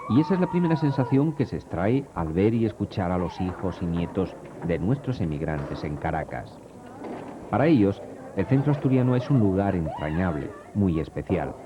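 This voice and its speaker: Deep-voiced man narrating a TV report. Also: background noise. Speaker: documentary narrator. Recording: dull old TV audio under music.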